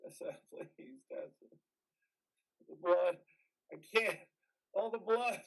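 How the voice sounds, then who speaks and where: A haunted male soldier back from war, as an amateur actor plays him. His voice sits very high for a man. He speaks in halting, distressed phrases, as in a live play reading. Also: gravelly, heavily acted, poor audio. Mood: tormented, pleading. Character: haunted, groggy male army veteran with nightmares.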